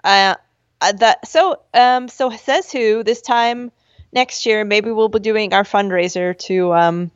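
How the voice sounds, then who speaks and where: A woman co-hosting a podcast from home, chatting and laughing, with a high voice, acting out quotes with squeals. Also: takes it slowly, clean tone, no room sound. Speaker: podcast co-host. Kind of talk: casual chat. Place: at home with a dog. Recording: dull, slightly lo-fi sound.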